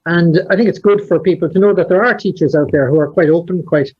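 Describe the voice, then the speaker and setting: Measured man hosting, lo-fi. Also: a little high, slightly rough. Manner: measured, hesitant with fillers. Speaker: host. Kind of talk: hosted conversation. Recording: dull lo-fi sound.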